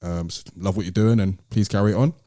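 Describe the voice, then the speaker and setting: Mellow, low-pitched British man. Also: fairly even intonation, fast talker, no room sound, clean studio sound. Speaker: podcast host. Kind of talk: casual chat.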